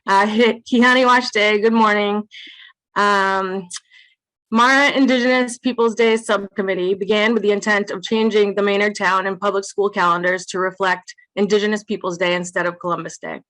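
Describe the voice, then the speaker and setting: A woman's voice: mid-range voice, steady tone, moderate pace, fairly bright tone, dry recording, rough recording. Woman giving a prepared report, dry sound. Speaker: subcommittee representative. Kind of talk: prepared announcement. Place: remote call. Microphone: video call.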